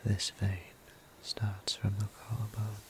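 A hushed, low male voice from an actor as an ancient vampire priest in an intimate audio roleplay. He speaks slowly and evenly, half whispering, with formal, tender care for the listener. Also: monotone, slight background noise. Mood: calm, reassuring. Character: gentle, courteous, centuries-old male vampire priest, tender caretaker.

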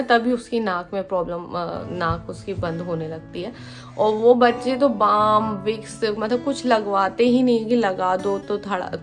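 Chatty Indian mom vlogging from home. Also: female voice, medium pitch. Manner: chatty, mixing in English phrases. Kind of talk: casual chat to camera. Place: bedroom at home. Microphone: phone camera at arm's length. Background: music playing.

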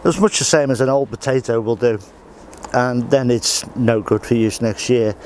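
A man's voice: mid-range voice, normal speed, darkish tone, slight background noise. A middle-aged man, casually showing how he does a garden job while he works, outdoors on an allotment. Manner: plain, practical, talks while working.

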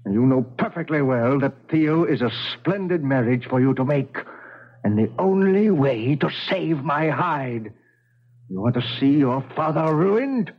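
A man playing a blustering, domineering gentleman father in a radio drama, with a husky voice and pompous, old-fashioned phrasing. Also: on the high side, lively intonation, unhurried. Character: blustering, gout-ridden, domineering gentleman father of colonial times. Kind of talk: 1970s radio drama acting. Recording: dull 1970s radio recording.

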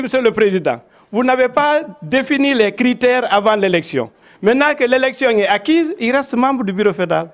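An older man with a Guinean accent giving a measured, oratorical public speech with personal recollections into handheld microphones. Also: sits high. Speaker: veteran local dignitary. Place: crowded covered event venue. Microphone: handheld microphones held in front.

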